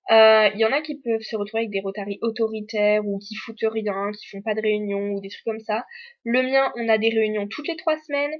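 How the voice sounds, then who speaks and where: A woman's voice: a little high, fairly even intonation, a little fast, dull audio. A teenage girl from France, an exchange student, chatting candidly to the camera with many fillers. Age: teens. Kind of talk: casual chat to camera.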